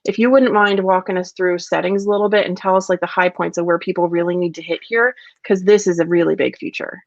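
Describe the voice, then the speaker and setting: A woman's voice: fairly low pitch, steady tone, fast talker, creaky, dry recording. A woman hosting a webinar in casual, guiding talk. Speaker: webinar host. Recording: dry, slightly dull online audio.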